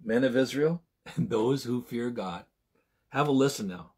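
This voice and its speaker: A man in his sixties with a husky, medium-pitched voice teaches from the Bible in an informal sermon. He talks conversationally and haltingly, often doubling back to rephrase. He is recorded indoors in a small room at home, speaking to a camera. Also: a little mellow. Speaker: preacher.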